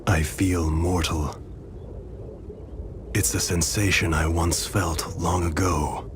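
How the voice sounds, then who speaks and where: Weary undead demon warrior for a game, really low voice, solemn, noisy ambience behind. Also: male voice, steady tone. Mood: weary, resigned. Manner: grave, measured, solemn. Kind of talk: dramatic video game voice acting. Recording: clearly noisy background ambience.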